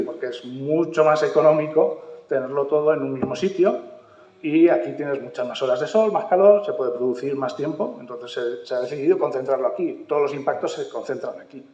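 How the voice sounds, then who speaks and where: A man's voice: medium pitch, darkish tone. A man from Mallorca speaking on a panel at a round-table talk, hesitant and full of fillers. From Mallorca, Spain. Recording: echoey hall, dull sound.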